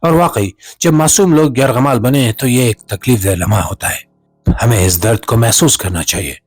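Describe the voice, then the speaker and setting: A man's voice: on the low side, no room sound. A man delivering scripted dramatic narration as a host, in a solemn, impassioned and rhetorical manner. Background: clear music bed under the voice.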